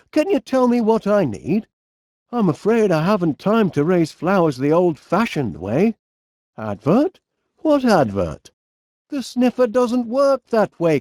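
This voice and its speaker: An actor playing a fussy, absent-minded middle-aged inventor with a Northern English accent, politely musing aloud in an animated comedy game. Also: male voice, sits high, dry recording, studio quality, exaggerated voice.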